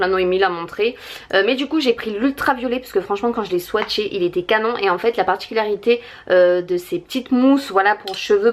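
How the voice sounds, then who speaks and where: A woman in her late twenties, vlogging at home by a window, chatty and enthusiastic with many fillers. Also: medium pitch. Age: 20s. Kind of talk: casual haul vlog.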